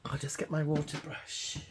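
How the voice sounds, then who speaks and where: A woman, hoarse with a cold and gruff-voiced, hosting a casual crafting video and talking hesitantly with many ums while she works. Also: low voice, background noise. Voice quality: gruff, gravelly. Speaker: craft channel host.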